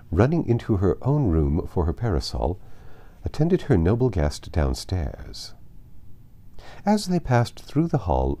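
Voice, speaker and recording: A man narrating a classic novel as an audiobook, with expressive, deliberate, theatrical phrasing. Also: sits low.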